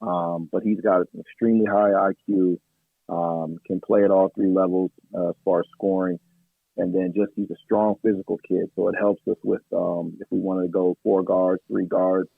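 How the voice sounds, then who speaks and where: A man, an assistant basketball coach, answering radio interview questions in a measured way with many fillers, his voice hoarse from yelling at practice. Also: deep voice, flat delivery, no room sound. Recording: tinny phone line.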